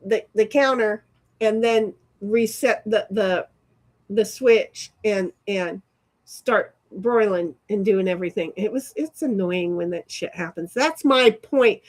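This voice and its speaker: A woman's voice: medium pitch, takes it slowly. A middle-aged woman hosting a casual livestream, rambling and swearing offhandedly as she chats. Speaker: livestream host. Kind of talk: casual livestream chat, reading chat aloud.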